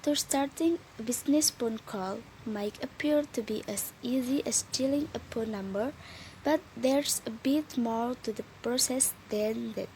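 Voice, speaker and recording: A young woman reads a scripted student presentation. Her voice sits a little high, and her delivery is careful and slightly halting. There is light noise on the recording. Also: darkish tone, low-quality recording. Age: young adult. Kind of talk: scripted lesson presentation, read aloud.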